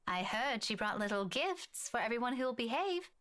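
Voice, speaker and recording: An actress playing a gentle schoolteacher who coaxes children in a horror video game. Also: female voice, fairly high pitch, sing-song, moderate pace. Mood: coaxing. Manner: gentle, coaxing, addressing children.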